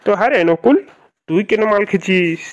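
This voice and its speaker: Man acting a hapless cartoon boyfriend, high male voice, rapid delivery, pleading whine, melodramatic. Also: highs cut off, lightly acted. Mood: dejected. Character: hapless, penniless lovesick young man, cartoon. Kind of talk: Bangla comedy cartoon dubbing.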